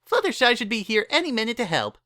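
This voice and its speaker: A bubbly young mare played by a man in a dramatic story reading. The voice is pushed high and bright, with gleeful, emphatic delivery and cheerful, scheming confidence. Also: big pitch swings, quick pace, no room sound, studio quality, full character voice. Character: excitable, cheerful young female pony, scheming party planner.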